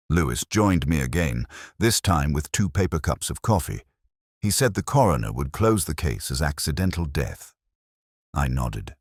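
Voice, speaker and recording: A man with an extremely low voice narrates a detective drama in a measured, brooding way. His pitch rises and falls expressively from line to line, and the sound is dry and close. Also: a little mellow, no echo. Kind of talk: first-person scripted detective drama narration.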